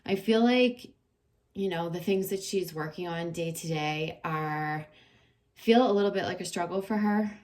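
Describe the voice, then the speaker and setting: A woman's voice: fairly low pitch, a little slow, darkish tone. A woman doing a casual, meandering tarot reading, with many fillers and a slight tickle in her throat that brings the odd cough. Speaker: tarot reader.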